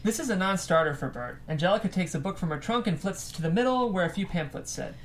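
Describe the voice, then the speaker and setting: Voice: mid-range voice, lively intonation, fast, slight background noise. A narrator reading scripted stage directions aloud at a table read.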